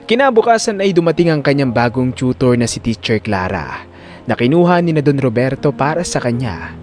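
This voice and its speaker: Expressive male story narrator. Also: mid-range voice. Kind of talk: dramatic scripted story narration. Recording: music under the voice.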